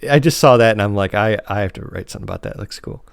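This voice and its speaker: A man's voice: fairly low pitch, racing, vocal fry, clear, resonant tone, no echo, clean studio sound. A man hosting a podcast in a casual, informal and slightly rambling chat style. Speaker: podcast host.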